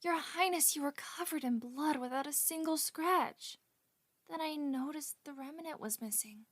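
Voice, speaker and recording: An actress playing a devoted, anxious young royal attendant in a fantasy audio drama, earnest and deferential. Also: female voice, sits high, normal speed, a bit bright, no echo, studio quality. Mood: hushed, uneasy.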